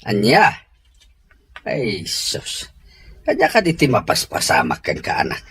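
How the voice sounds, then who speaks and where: A man's voice: medium pitch, huge pitch swings, measured pace, a bit bright, a little hiss or hum. A man with a Filipino Ilocano accent playing a concerned, questioning father in an audio drama. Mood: surprised, concerned.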